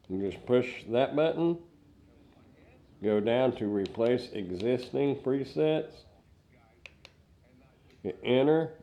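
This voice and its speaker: A man's voice: medium pitch, warm tone. An older man giving a casual hands-on product review, explaining things step by step in plain words.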